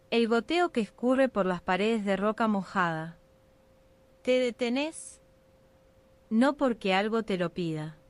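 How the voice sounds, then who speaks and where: A woman narrating a scripted ASMR sleep meditation in a soothing, unhurried, hypnotic way. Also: medium pitch.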